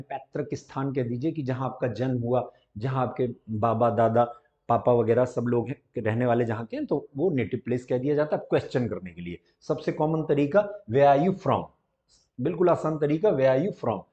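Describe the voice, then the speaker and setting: An Indian man with a Hindi accent teaching spoken English. He explains in Hindi and says each model English sentence slowly and deliberately. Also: a little high, dark tone, dull audio. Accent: Indian, Hindi-speaking. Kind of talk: classroom-style spoken English lesson. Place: institute classroom or studio.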